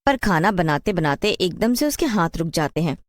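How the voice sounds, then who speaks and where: A woman's voice: fairly low pitch, big pitch swings, normal speed, no room sound. Woman narrating in a dry studio sound. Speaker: storyteller. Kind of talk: scripted story narration.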